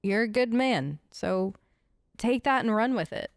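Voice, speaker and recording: A woman's voice: a little high, animated, unhurried, no echo, studio quality. A woman doing playful, informal role-play commentary as she hosts a video game let's play, chatting in character. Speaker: gaming host.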